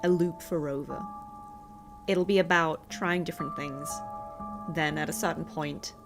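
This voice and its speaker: A woman's voice: low-pitched, creaky. A woman game streamer giving casual live commentary, musing and thinking aloud as she plays. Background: game music.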